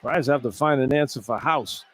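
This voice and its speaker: A man's voice: mid-range voice, moderate pace, fairly bright tone. A man giving conversational, opinionated color commentary on a live basketball radio broadcast. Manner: conversational, opinionated explanations of plays. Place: courtside in a basketball arena. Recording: clear arena noise behind the voice. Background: arena ambience.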